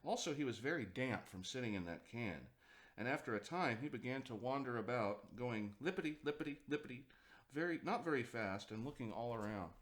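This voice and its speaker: A man's voice: mid-range voice, expressive, fairly quick, fairly bright tone. A man narrating a children's picture book as a storyteller, reading expressively for young listeners.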